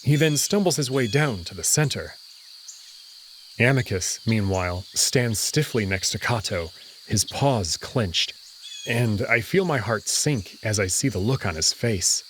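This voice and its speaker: A man's voice: lowish voice, animated, unhurried, a little hiss or hum. A man reading dramatic first-person narration from a visual novel. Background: music.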